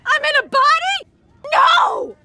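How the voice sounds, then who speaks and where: Stubborn, very high-voiced little soul. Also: female voice, slow, bright, forceful tone, slight background noise, full character voice. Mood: horrified. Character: cynical, stubborn, troublesome young female unborn soul.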